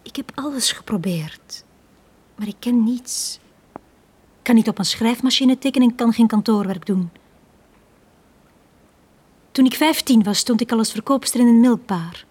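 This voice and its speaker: A Flemish actress playing a lonely, downtrodden young bar girl in a radio drama, speaking in a hushed, halting, confiding way. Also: fairly high pitch. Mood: downcast. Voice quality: breathy, hushed.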